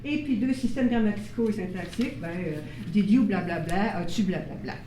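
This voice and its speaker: Woman presenter, mellow voice in a roomy hall. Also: medium pitch, normal speed. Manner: explanatory, checks in with the audience. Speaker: workshop presenter on child bilingualism. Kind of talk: live workshop talk to parents. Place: meeting room with audience. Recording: roomy sound from a distant mic, faint noise.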